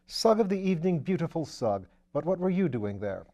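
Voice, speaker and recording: Man acting aristocratic gentleman detective, raised pitch, loud and animated, breezy, whimsical, chatty, audiobook character. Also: brisk. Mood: eager, amused. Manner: chatty, playful, theatrical.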